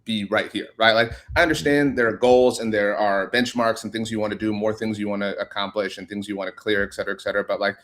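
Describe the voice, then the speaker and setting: A man's voice: lowish voice, steady tone, fast, hardly any pauses, a bit bright. A man speaking as a podcast guest, thoughtful and encouraging, with many "like" fillers. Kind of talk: casual podcast chat.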